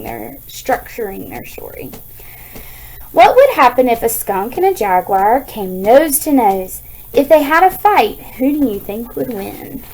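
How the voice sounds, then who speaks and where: A woman's voice: medium pitch, a little mellow, a little hiss or hum, poor audio. A woman with an American accent, a school teacher reading aloud to children and chatting through explanations, often checking in with "right?".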